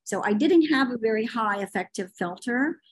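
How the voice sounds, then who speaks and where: A woman's voice: a little high, animated, normal speed, slight background noise, rough recording. A middle-aged woman talking about language teaching in a podcast conversation, opinionated and telling stories, with frequent fillers.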